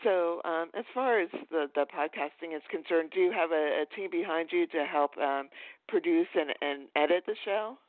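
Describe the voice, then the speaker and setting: A man hosting a casual interview, asking questions in a hesitant, halting way. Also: on the high side. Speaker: podcast host, interviewer. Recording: tinny phone-like line, roomy echo.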